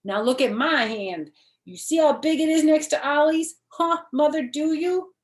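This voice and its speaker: Woman voicing an indignant, high-pitched kindergarten girl. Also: normal speed, reverberant, natural voice. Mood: boastful, insistent. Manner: emphatic, childish grammar, insistent. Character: bossy, indignant kindergarten girl insisting she's grown-up. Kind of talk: children's storybook character voice.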